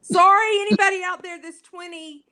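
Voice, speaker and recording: A woman's voice: extremely high pitch, steady tone, moderate pace, pushed and bright. A woman in her late fifties chatting casually as a livestream guest, blunt and teasing. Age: 50s. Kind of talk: casual chat.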